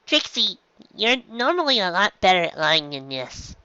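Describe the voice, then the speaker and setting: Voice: medium pitch, very animated, moderate pace, pushed and bright, smooth, no echo, muffled recording, pitched above the performer's own voice. A reader voicing a weary, caring female pegasus mail carrier in a storybook, with a dry, grumbling, questioning manner. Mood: concerned.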